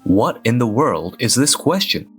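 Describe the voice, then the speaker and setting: A man playing a reserved, awkward office worker who narrates his own manga-dub story in a measured, deadpan way. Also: medium pitch, breathy edge, faint music behind, exaggerated voice. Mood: puzzled. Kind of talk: scripted first-person narration in a manga dub.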